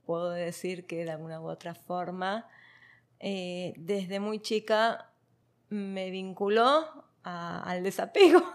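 A woman from Argentina, a guest in an interview, speaking reflectively with many fillers and light laughs. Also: medium pitch. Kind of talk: conversational interview.

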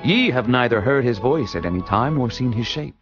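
Guy doing jesus, solemn and measured, lively pitch, dull lo-fi sound with music under. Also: natural voice. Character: Jesus, calm authoritative male teacher. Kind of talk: dramatized scripture reading.

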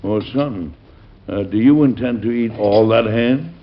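A man's voice: lowish voice, a little slow, natural voice. An actor playing a blustery, boyish middle-aged President and family man in old-time radio comedy style. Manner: indignant sputtering, mock-pompous. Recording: dull, hissy old radio recording.

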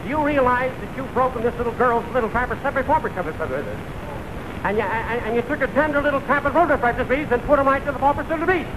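An indignant cousin blusters in a high male voice, slipping earnestly into nonsense double-talk. The style is 1940s radio comedy, on a noisy vintage recording. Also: fast. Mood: indignant, blustering. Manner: earnest, blustering gibberish delivery.

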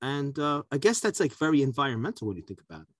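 A man's voice: medium pitch, big pitch swings, quick pace, fairly bright tone, no room sound, studio quality. A talkative man co-hosting a food podcast, telling stories and jokes in a casual chat. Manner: talkative, joking storyteller. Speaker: podcast co-host.

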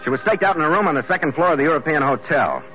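A sardonic ship captain tells his story in clipped, dry lines, played by a male actor in vintage radio drama style. The sound is dull and old, with loud music behind him. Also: a little high, expressive, very fast, close to an everyday voice. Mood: grim narration. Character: weary sardonic ship captain narrating his own adventure. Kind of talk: 1950s American radio drama acting with first-person narration.

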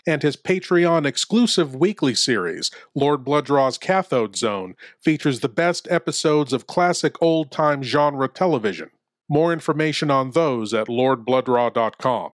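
A man hosting a horror show with theatrical scripted narration and deliberate spooky showmanship. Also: mid-range voice, lively intonation.

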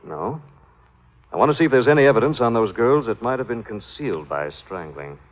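An actor playing a suave, shrewd, courteous amateur sleuth in an old-time radio drama. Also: male voice, medium pitch, sing-song, brisk, natural voice. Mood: confident. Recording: tinny, phone-like old radio recording.

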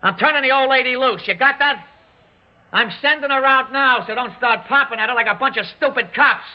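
An actor playing a threatening young armed robber in a classic radio drama, talking in tough, slangy, ungrammatical street talk. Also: male voice, very high-pitched, a little fast. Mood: defiant, shouting. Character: jittery young male armed robber holding hostages, threatening. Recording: muffled old radio broadcast.

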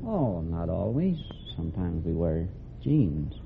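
A thin, phone-like vintage radio recording full of noise. An American man in his fifties, with a creaky, gravelly voice, gives short, polite and earnest answers. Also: deep voice, very animated, slow pace. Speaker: quiz contestant, Navy Seabee. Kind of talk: short, unscripted interview answers. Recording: thin band-limited old radio, very noisy.

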